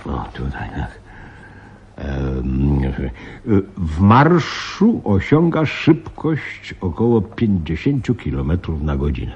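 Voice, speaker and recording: An actor playing a flustered, grave veteran police commissioner in a radio play, husky and halting, repeating himself. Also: male voice, a bass voice, huge pitch swings, darkish tone, slight background noise, exaggerated voice. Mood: grave, intent. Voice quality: husky, breathy. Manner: halting, muttering, repeats himself, dramatic emphasis. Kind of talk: Polish radio play acting. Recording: slightly dull radio play recording.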